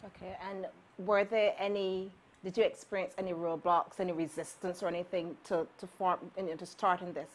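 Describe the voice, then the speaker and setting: A woman's voice: fairly low pitch, little pitch movement, fairly quick, hardly any pauses. A woman from Barbados with a Barbadian accent, hosting a talk show and chatting in a bubbly, admiring way. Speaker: host. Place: indoor studio set.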